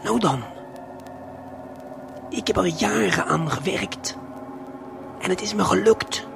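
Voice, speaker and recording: A man narrates a surreal audio play straight to the listener, with intimate, deliberate, hypnotic phrasing. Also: sits high, lively intonation, gravelly. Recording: music under the voice. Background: music.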